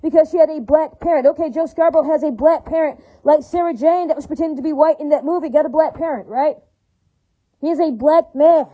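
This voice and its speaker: Fast-talking high-voiced rambling woman. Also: phone-quality sound. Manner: repetitive, filler-heavy, keeps asking listeners if they agree. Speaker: vlogger addressing viewers. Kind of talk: rambling unscripted monologue.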